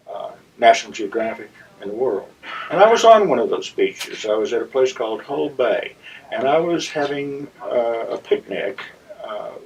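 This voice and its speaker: A man hosting a story segment, telling a personal anecdote to viewers in a deliberate, theatrical raconteur's manner with dramatic pauses. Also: medium pitch, a little mellow. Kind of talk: anecdotal monologue addressed to viewers. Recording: slightly distant, dull lo-fi sound.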